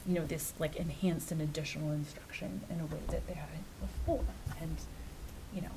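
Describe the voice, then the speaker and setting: A woman's voice: low voice, fairly even intonation, fairly quick, background noise. Hesitant woman speaking in a meeting. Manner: hesitant, many fillers. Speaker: school committee member. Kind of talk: meeting discussion.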